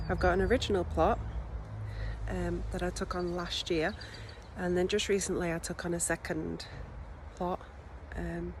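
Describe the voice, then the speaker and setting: A woman's voice: fairly low pitch, background noise. A woman with a cold, chatting casually and a bit scattily as she walks around her allotment. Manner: chatty, scattered, with many ums and restarts. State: sniffly from a cold. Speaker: allotment gardener giving a tour. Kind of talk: casual walking tour vlog. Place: outdoors on an allotment.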